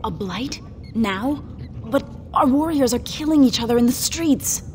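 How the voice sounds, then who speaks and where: A woman's voice: a little high, animated, a little slow, darkish tone, a little hiss or hum. A solemn dwarf noblewoman. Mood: grave. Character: proud young female dwarf noblewoman, grave. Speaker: game character. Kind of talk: video game voice acting. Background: game music.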